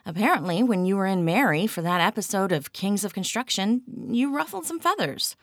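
A woman's voice: medium pitch, lively intonation, brisk, dry recording, studio quality, voice pushed up, lightly acted. A woman voicing an anxious pregnant female TV producer who speaks in a placating, hesitant way.

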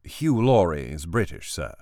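A man's voice: deep voice, very animated, measured pace, a little mellow, no echo, studio quality, exaggerated voice. A man voicing a dutiful male personal assistant who speaks formally and politely. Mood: deferential.

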